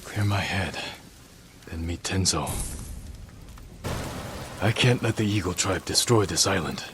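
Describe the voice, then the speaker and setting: Game samurai, young man: low, terse, resolute, haunted; clear ambient noise behind. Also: unhurried. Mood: reflective. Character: grim, driven young samurai haunted by poisoned visions. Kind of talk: video game acting.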